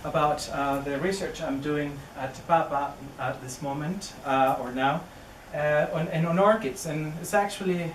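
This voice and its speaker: A man's voice: fairly high pitch, moderate pace, few pauses. Chilean man in his thirties, hesitant researcher giving a public talk, recorded from afar in an echoey, noisy room. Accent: Chilean Spanish. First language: Spanish. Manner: hesitant, many fillers and restarts. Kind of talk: public lecture. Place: indoor venue near a greenhouse. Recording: distant roomy recording with noise.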